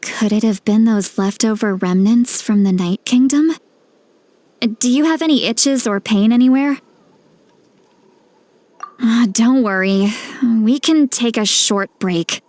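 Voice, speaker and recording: A woman playing a caring, self-assured sage in a video game, with an assured, gently advising manner. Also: a little high, slow, long pauses. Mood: concerned.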